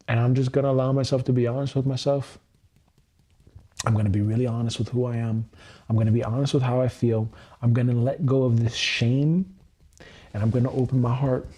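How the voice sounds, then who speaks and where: A man's voice: medium pitch, mellow, slightly rough. A man in his thirties on a livestream, speaking earnest, repetitive self-affirmations with frequent swearing. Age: 30s. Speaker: EFT tapping livestreamer.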